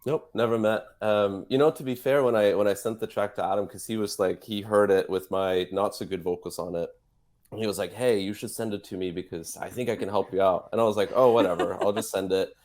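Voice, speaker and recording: Guy on the phone to a radio show, lowish voice, laid back and chatty. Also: brisk. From Norway. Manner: relaxed, chatty storytelling. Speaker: guest musician and guitar teacher. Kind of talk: casual radio interview by phone. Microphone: phone line.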